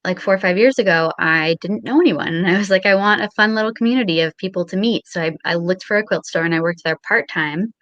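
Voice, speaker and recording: An American woman in her thirties tells casual anecdotes in a slightly low voice with wry exaggeration. The sound is dry and somewhat muffled, like a video call. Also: fast talker, few pauses, a bit bright, dry recording. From Long Island, New York, USA. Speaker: guest.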